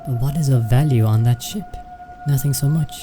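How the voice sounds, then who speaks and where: An actor playing a watchful, suspicious deducer in a radio play, musing in archaic, probing questions. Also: male voice, mid-range voice, fairly quick, natural voice. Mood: puzzling, probing. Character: watchful, suspicious deducer, puzzling out another's secrets. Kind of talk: theatrical radio drama monologue. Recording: music playing loudly behind.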